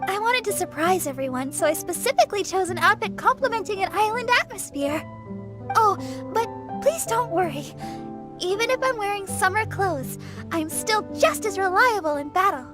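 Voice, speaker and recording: A sweet teenage performer from a video game. Her voice is extremely high, girlish and eager to please. Music plays clearly behind her. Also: expressive, normal speed. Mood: eager, shy. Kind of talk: video game character acting.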